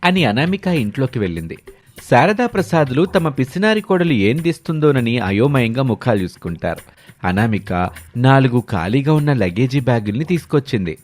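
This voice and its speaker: A man's voice: mid-range voice, big pitch swings, normal speed, faint music behind. A man narrating a scripted moral story as its storyteller.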